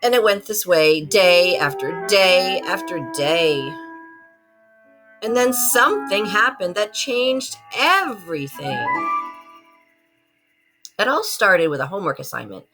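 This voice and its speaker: A woman narrates a children's storybook in a very lively, swooping voice. She acts out the story as she reads, with a musical soundscape clearly playing behind her. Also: mid-range voice, slow pace, long pauses, over music, poor audio. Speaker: librarian reading a picture book aloud to students.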